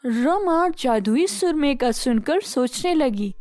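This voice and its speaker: A woman's voice: high-pitched, expressive, takes it slowly, mellow, no room sound, clean studio sound. A woman narrating a scripted bedtime story for children as a storyteller. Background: faint music.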